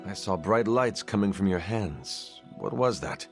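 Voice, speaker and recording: A man playing a guarded, plain-spoken hunter hero in a video game, reserved and measured. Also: low voice, animated, faint music behind. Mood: curious, reserved. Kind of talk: video game cutscene acting.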